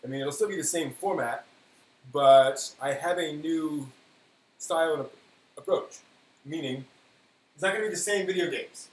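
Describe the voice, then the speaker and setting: A man's voice: on the high side, normal speed, pauses often. A man hosting a casual live stream, chatting in an offhand way as he thinks aloud. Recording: roomy echo, faint hiss.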